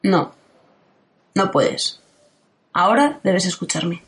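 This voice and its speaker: A woman from playing a calm, ageless guardian who speaks in a steady, firm way in an audio drama. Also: lowish voice, takes it slowly. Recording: roomy echo.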